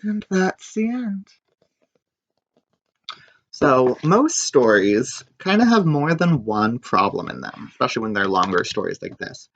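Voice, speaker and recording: Very animated man reading a storybook. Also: medium pitch, unhurried, lo-fi sound, slight background noise. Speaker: storybook reader. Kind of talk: expressive read-aloud narration for children.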